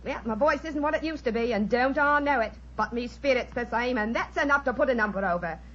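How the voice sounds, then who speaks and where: A woman's voice: on the high side, fairly quick, a bit bright. A woman playing a spirited, plain-spoken former music-hall singer turned Rani in a 1940s radio drama, with brassy colloquial speech. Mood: chummy, spirited. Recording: dull, lo-fi 1940s radio recording.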